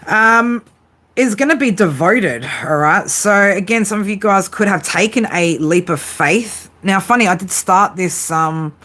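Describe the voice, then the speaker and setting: A woman's voice: fairly low pitch, a bit bright. A woman giving a live tarot reading in a casual, chatty way, swearing freely. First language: English. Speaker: tarot reader.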